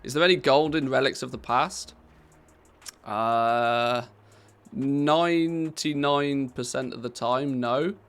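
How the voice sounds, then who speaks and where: British man, live game streamer, casual chatter, laughs easily, creaky gravelly tone. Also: mid-range voice, slow pace, fairly bright tone. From Britain. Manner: chatty, answers viewer questions, laughs easily.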